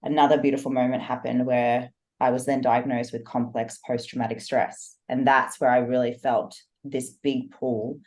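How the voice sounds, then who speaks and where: A woman's voice: extremely low pitch, little pitch movement, normal speed, few pauses, a little mellow. A woman, a business coach, telling her life story as a guest in a podcast interview, earnest and reflective. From Australia. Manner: earnest, reflective, talks in long flowing stories.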